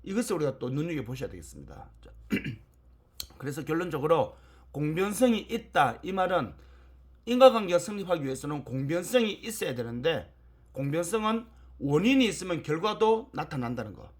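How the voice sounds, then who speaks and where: A man's voice: fairly high pitch, big pitch swings, moderate pace. A man lecturing in an explanatory, emphatic way, repeating key points and asking rhetorical questions.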